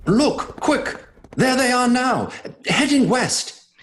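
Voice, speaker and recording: An actor playing an earnest Victorian gentleman who reads out his journal in clipped entries in a radio drama. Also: very high voice, expressive, takes it slowly, full character voice. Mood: urgent. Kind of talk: dramatic radio-play narration. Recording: noisy sound-effect ambience behind.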